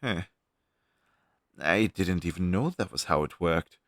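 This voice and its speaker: Male actor for a demon crow familiar, fantasy roleplay audio: helpful explainer, animated intonation, dry close sound. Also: low voice, a little slow, no room sound. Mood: curious, friendly. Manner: conversational, explanatory, reassuring, with thoughtful hums. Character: friendly male demon crow familiar advising a young witch.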